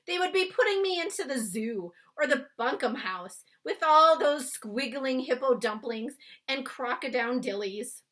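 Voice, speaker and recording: A woman voicing a big friendly male giant, excitable and blustering, with garbled folksy grammar, in a children's storybook reading. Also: high voice, measured pace, pitched above the performer's own voice. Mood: agitated. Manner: garbled grammar, invented words, emphatic. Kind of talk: children's storybook read-aloud.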